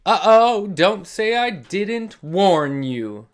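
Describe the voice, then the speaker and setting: A man reads a picture book aloud for young children. His voice sits fairly high and swings widely in pitch. He takes his time, leaning on key words for drama and speaking directly to his young listeners. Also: sing-song, drawn-out words. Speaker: host of a children's read-along story series.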